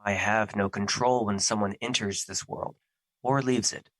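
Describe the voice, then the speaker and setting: A man plays a stern, earnest personified emotion in an audio drama. The voice is bright and forceful, recorded dry and close. The phrasing is formal and stiff, with irritation never far off. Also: on the low side, steady tone, moderate pace, no room sound, natural voice.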